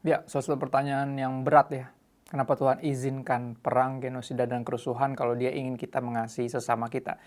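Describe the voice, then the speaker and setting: A man's voice: medium pitch, level tone, fairly quick, darkish tone. An Indonesian man hosting a casual youth talk show, mixing English phrases into his speech. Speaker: host.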